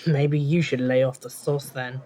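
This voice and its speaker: An actor playing an arrogant, sarcastic young occultist in an audio drama, speaking with overconfidence. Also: male voice, medium pitch, fairly even intonation, a little slow, dark tone, lightly acted. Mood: smug, dismissive. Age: young adult.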